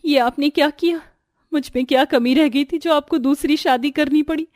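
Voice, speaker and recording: Woman voicing a high, earnest young wife. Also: normal speed, a little mellow, dry recording, clean studio sound, natural voice. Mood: hurt, pleading. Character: meek, wronged young dark-skinned wife.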